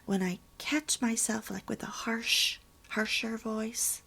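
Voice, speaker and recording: A woman speaks in a breathy, half-whispered hush, keeping her voice low because it is early morning at home. Her tone has a gravelly edge and sits a little high. She reads slowly and gently, adding her own remarks between passages. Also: fairly even intonation. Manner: soft, hushed, half-whispered delivery. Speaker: homemaking channel host. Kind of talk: reading a pamphlet aloud with commentary.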